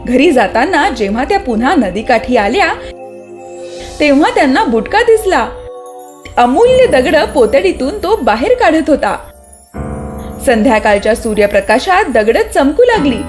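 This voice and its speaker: A woman narrating a scripted children's story as an expressive storyteller. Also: sits high, moderate pace, faint music behind.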